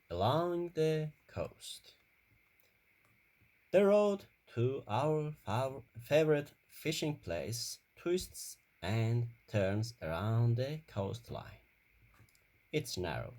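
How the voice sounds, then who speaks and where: A man reading a children's story aloud in careful, deliberate short phrases. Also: medium pitch, darkish tone.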